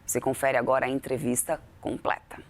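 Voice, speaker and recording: Very low-voiced Brazilian news presenter. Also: female voice, little pitch movement, brisk. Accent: Brazilian Portuguese. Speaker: news presenter introducing the segment. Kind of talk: scripted news narration.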